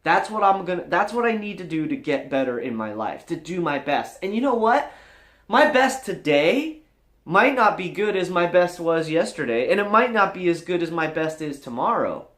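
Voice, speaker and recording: A man's voice: sits high, big pitch swings, normal speed, fairly bright tone. A man giving an earnest, emphatic motivational talk to camera as a vlog host, encouraging his viewers. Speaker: vlogger, channel host. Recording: roomy indoor echo.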